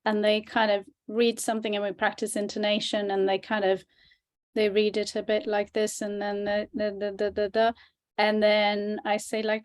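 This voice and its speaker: A woman co-presenting a language question-and-answer session as a teacher, speaking in a chatty, informal, demonstrative way. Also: mid-range voice.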